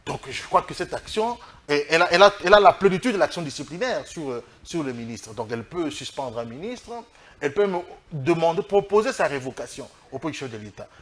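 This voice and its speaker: Higher-voiced Congolese man arguing emphatically. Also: expressive, fast. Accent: Congolese French. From Democratic Republic of the Congo. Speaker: political guest, lawyer. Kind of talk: political interview, spoken argument.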